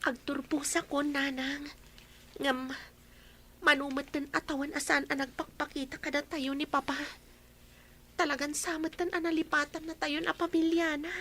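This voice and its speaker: A woman doing a sad, high-voiced teenage girl. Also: flat delivery, moderate pace, a bit bright, slight background noise. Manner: sad, earnest. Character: teenage girl graduating, missing her father.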